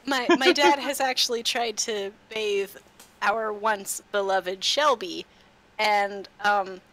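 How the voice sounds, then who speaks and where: A woman chatting and narrating as a tabletop game master, halting and repeating her words. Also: medium pitch, steady tone, a bit bright, poor audio. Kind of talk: casual improvised tabletop game narration and chat. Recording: slight background noise.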